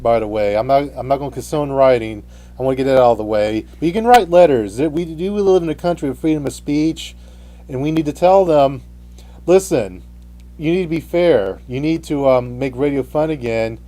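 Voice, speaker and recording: A man hosting an unscripted radio debate, speaking haltingly and often restarting his phrases. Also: on the high side, dark tone. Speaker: radio show host.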